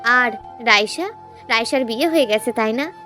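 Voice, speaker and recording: A woman's voice: on the high side, moderate pace, bright, forceful tone, soft background music. A woman voicing a sorrowful, humble young man cast out from home, plaintive and hesitant in story dialogue.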